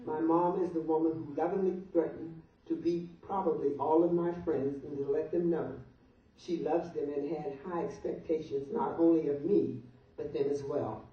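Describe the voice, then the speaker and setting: An older woman reads a humorous open letter out loud to a gathering. She is heard from some way off in a strongly echoing room. The sound is muffled, as if caught by a distant microphone. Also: low-pitched, steady tone, normal speed. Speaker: guest reader at a birthday party. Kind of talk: reading a humorous open letter aloud to guests. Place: large echoey room at a birthday party. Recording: muffled, very echoey room, distant mic, noise.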